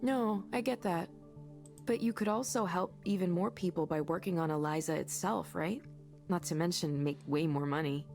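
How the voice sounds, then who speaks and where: An actress playing an earnest, friendly young coworker in a visual novel game, talking in a casual, emphatic, encouraging way. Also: female voice, lowish voice, normal speed, gravelly, darkish tone. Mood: encouraging, admiring. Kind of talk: video game voice acting. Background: faint music.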